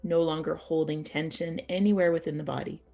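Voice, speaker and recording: A woman teaching yoga nidra as a guided meditation, speaking soothingly and unhurriedly in short phrases with pauses. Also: deep voice, steady tone, vocal fry, warm tone, soft background music.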